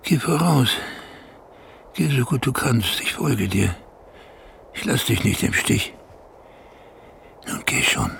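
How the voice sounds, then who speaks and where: A weary old herdsman in a radio play. His voice is heavily gravelly and moves widely in pitch, tender and sorrowful. Faint ambient noise sits behind him. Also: big pitch swings, takes it slowly, long pauses, heavily acted. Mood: tender urging. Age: older. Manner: talks gently to his exhausted horse. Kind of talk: German radio play acting.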